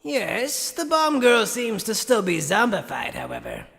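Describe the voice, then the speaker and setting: A man's voice: high-pitched, huge pitch swings, a little slow, creaky, fairly bright tone, full character voice. A man voicing an arrogant, sadistic mad scientist in an anime fan dub, theatrical and condescending with mock politeness, recorded in long reverb. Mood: smug lecturing.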